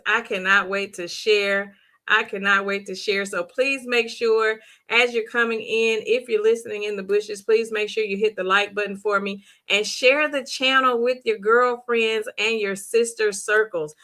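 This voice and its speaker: A middle-aged woman with a hoarse voice, hosting a live stream and warmly greeting viewers by name in the chat. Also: mid-range voice. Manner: bubbly, repetitive greetings. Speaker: livestream host.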